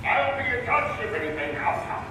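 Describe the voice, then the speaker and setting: A woman's voice: fairly high pitch, sing-song, fairly quick. A woman playing a brash, informal train announcer in a video game, with a casual, punchy delivery. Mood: brisk. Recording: echoey, distant train address, faint noise.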